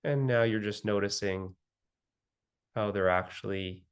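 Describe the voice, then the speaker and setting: A man leading a guided meditation as the guide, speaking gently and soothingly with long pauses between phrases. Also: lowish voice, clean tone.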